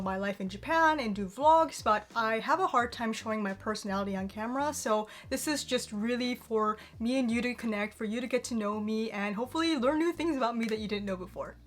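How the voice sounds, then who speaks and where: High-voiced young American woman, chatty and candid. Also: fairly quick, hardly any pauses. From United States, Chicago area. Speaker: vlogger, channel host. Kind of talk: casual personal Q&A talk to camera.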